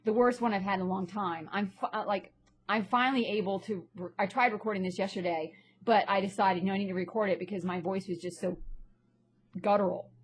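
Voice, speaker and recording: A woman of middling pitch with a husky, breathy voice that sounds slightly stuffy. She talks straight to her audience in a blunt, indignant vlog rant. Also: steady tone, a little fast. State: stuffy from a sinus infection. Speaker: vlogger and actress. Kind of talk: ranting personal vlog monologue.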